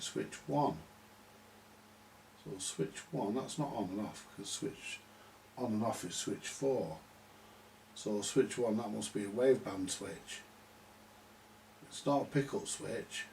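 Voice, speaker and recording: A man giving halting, unscripted commentary at a workbench, muttering to himself as he works things out. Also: fairly low pitch, vocal fry, a little mellow. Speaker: vintage radio repair hobbyist. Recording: distant mic with background hiss.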